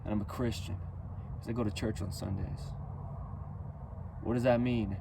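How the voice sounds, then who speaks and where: A man delivering a rhythmic, rhyming spoken-word freestyle with a preachy, emphatic and loud delivery. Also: on the low side, steady tone, moderate pace, gravelly, background noise. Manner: loud, near-yelling delivery.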